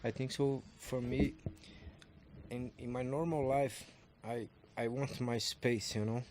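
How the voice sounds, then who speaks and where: A man's voice: medium pitch, steady tone, slow, a little mellow. A man, a fighter, answering an interview question hesitantly and restarting his phrases. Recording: noisy recording.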